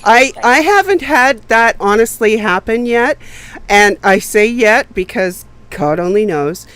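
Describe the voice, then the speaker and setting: A woman's voice: a little high, animated, unhurried, fairly bright tone, no echo. A woman on a video call with wry humor, often chiming in with short agreements. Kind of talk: casual group chat.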